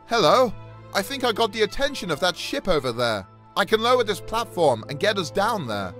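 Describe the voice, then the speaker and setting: High-pitched earnest stranded man, acted out by a man. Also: sing-song, fairly quick, a bit bright, faint music behind. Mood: earnest, calling out. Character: helpful, earnest stranded man calling out to survivors. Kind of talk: video game character voice lines.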